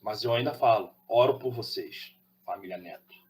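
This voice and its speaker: A man's voice: fairly low pitch, normal speed, a little mellow. A man from Rio de Janeiro with a Carioca accent, delivering an opinionated monologue as a channel host, preachy and full of rhetorical questions. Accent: Carioca Brazilian Portuguese. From Rio de Janeiro, Brazil.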